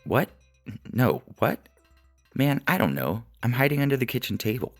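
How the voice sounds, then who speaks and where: A man acts out a frightened, exasperated man in a sci-fi comedy story. His voice is pushed up high and turns frantic and slangy, with a gravelly edge and music clearly playing behind him. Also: sing-song, normal speed. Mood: panicked. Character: panicked young man besieged by zombies, exasperated caller.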